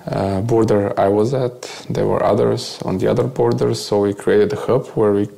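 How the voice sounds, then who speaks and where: A man from Bulgaria with a Bulgarian accent, giving a conversational, halting first-person account as an interview guest. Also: lowish voice, flat delivery, creaky, mellow.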